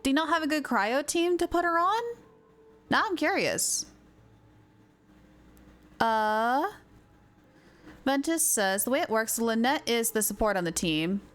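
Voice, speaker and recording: A woman's voice: fairly high pitch, lively intonation, unhurried, pauses often, a bit bright. A woman game streamer chatting casually, thinking aloud as she reads and answers viewer comments. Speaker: game livestreamer. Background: faint game music.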